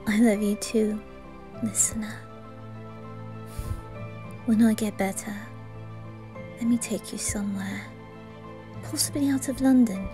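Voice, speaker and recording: A woman's voice: mid-range voice, fairly even intonation, soft background music, lightly acted. Woman voicing a tender, whispery wounded teenage boy. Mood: loving. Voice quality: soft, breathy, often whispering. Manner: hesitant, tender, halting. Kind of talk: ASMR roleplay.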